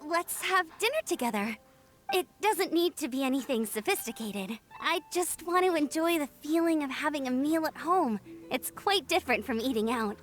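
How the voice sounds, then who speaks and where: A woman voicing a cheerful, eager young female scout in a video game, with a perky, expressive, chatty manner. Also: high-pitched, normal speed, faint music behind. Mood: warm, earnest. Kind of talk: video game character dialogue.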